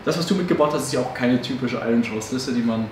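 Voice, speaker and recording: A man's voice: medium pitch, fast. A man in his thirties co-hosting, chatting casually with many fillers and restarts, in a hobby shop. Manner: rambling, many fillers and self-corrections. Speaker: co-host of a tabletop game channel. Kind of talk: casual chat introducing a battle report. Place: hobby game shop, indoors. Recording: echoey room, distant mic, faint hiss.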